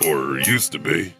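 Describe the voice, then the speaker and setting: A man's voice: a bass voice, creaky, sharp tone, slightly rough, heavily acted. A man playing a creepy, wisecracking janitor who tells horror stories, with chuckling, self-deprecating asides. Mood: sardonic, chuckling. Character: creepy, wisecracking, no-longer-human janitor storyteller. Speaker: host and storyteller of horror anthology. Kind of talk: scripted horror story narration.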